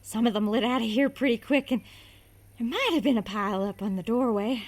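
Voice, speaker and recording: An actress playing a frazzled cook who has gone dazed and eerily calm. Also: female voice, fairly high pitch, a little fast, natural voice. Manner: dazed, dreamy and unsettled. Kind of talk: audio drama acting.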